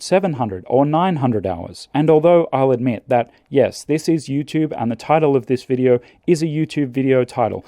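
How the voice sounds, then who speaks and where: A man calmly narrating scripted language-learning advice in a measured, earnest way. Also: mid-range voice, no room sound, studio quality.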